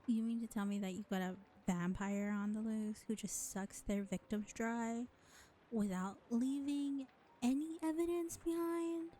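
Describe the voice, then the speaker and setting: A woman's voice: on the high side, fairly even intonation, unhurried, mellow, slight background noise, natural voice. A woman voicing an exasperated, sarcastic vampire in an audio roleplay, drawling with mockery and scorn. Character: sarcastic, exasperated, foul-mouthed female vampire.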